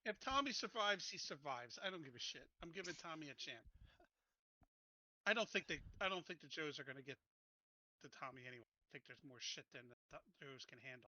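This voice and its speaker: A man's voice: on the high side, lively intonation, a little fast, bright, forceful tone, poor audio. A man playing a tabletop roleplaying game, planning moves casually in a blunt, informal way.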